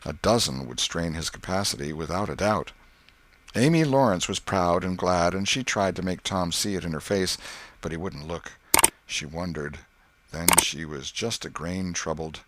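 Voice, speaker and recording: A man narrating a literary story as an audiobook, in a measured, expressive and wry manner. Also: deep voice, a little hiss or hum.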